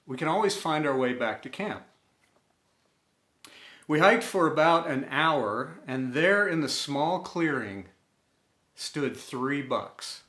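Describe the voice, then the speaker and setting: Male storyteller, mid-pitched, unhurried and deliberate, scripted inspirational narration, audible room echo. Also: fairly bright tone. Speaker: storyteller and host. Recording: roomy, echoing room sound.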